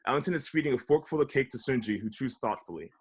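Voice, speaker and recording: A man's voice: medium pitch, expressive, quick pace, breathy edge. A man reading out stage directions as narrator for a comedy script table read.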